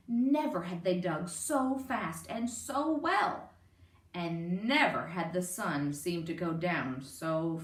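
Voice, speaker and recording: Expressive, animated woman storyteller, roomy sound. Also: medium pitch. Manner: expressive, emphatic read-aloud delivery. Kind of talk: children's picture-book narration. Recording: roomy, distant microphone.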